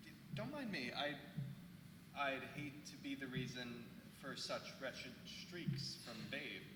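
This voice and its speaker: Actor as awkward villager in an echoey hall. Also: male voice, medium pitch, expressive, slow pace. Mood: awkward, flustered. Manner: hesitant, stammering, slangy. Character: awkward, easygoing village man visiting a neighbour. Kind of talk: staged play reading, modern casual speech. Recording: echoey hall, far mic, noisy.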